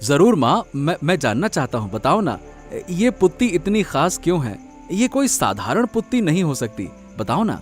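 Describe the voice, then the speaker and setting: Man playing a humble, animated village vegetable seller. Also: on the high side, normal speed, faint music behind. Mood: curious, surprised. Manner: earnest, expressive. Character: humble, kind-hearted village vegetable seller, devoted son. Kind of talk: scripted cartoon story acting.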